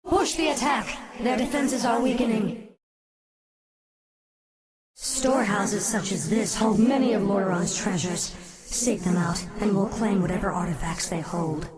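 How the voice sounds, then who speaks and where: A woman's voice: medium pitch, measured pace, crowd noise, close to an everyday voice. A woman playing a cold, commanding undead elf queen in a video game, imperious and menacing. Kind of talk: dramatic video game acting.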